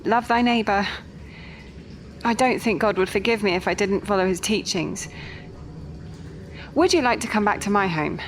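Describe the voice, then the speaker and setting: A woman playing a kind, pious working woman in a Victorian audio drama, speaking gently, earnestly and properly. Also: a little high, slight background noise, natural voice.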